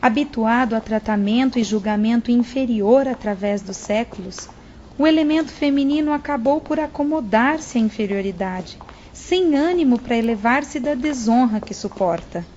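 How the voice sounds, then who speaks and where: A dull, noisy recording of a high Brazilian female voice , an actress playing a serene spirit director in a radio-style drama. She speaks with gracious, formal composure. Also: moderate pace, a little mellow, natural voice. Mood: earnest. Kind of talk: audio drama acting. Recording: muffled, clearly noisy audio.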